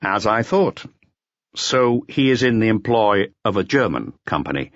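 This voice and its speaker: A calm, probing male detective, voiced by a man reading an audiobook speaks with formal politeness and lively inflection. The sound is dry and a little dull. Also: on the low side, measured pace, no room sound, voice pushed up.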